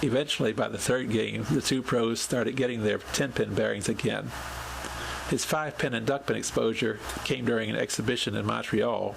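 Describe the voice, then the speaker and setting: A man reading a scripted account as a podcast host, in a measured storytelling delivery. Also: medium pitch, steady tone, creaky, noisy background.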